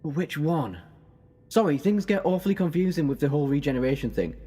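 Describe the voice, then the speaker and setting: A man's voice: fairly high pitch, lively intonation, fairly quick, mellow, breathy edge. A man playing an ancient, flippant time-travelling hero in an audio drama, wry and given to urgency. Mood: flippant, puzzled.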